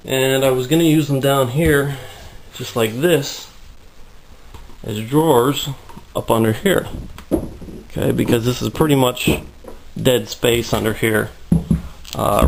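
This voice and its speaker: A man giving a casual tour of his home workshop, rambling through his explanations with lots of fillers, out of breath and getting raspy in the heat. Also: medium pitch, steady tone, measured pace, long pauses, slight background noise, poor audio. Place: hot, humid home workshop.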